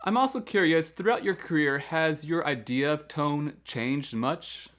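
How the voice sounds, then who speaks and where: A man's voice: on the high side, a little slow, fairly bright tone. A man hosting an interview for music educators, asking long, rambling questions with an eager manner. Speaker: podcast host and music educator.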